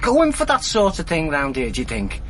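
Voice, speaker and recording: A naive, working-class sidekick in a radio drama. The talk is colloquial and blurting, with hesitations and very wide swings of pitch. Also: male voice, huge pitch swings, moderate pace, fairly bright tone, slight background noise. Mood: curious, chatty. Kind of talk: BBC radio drama acting.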